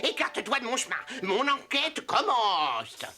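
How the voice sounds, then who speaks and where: A woman voicing a cocky, excitable monkey detective in a cartoon, boastful and theatrical. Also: fairly high pitch, a little slow, exaggerated voice. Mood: pompous, sleuthing. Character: cocky excitable monkey playing self-important private detective. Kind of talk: animated series dialogue. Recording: music under the voice.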